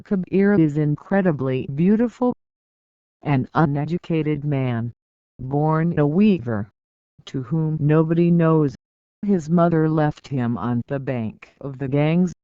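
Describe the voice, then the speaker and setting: Audiobook narrator, very slow deliberate pacing, smooth clear voice, dry close mic, slightly dull lo-fi sound. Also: medium pitch, no echo. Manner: measured, deliberate reading with pauses between phrases. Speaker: audiobook reader.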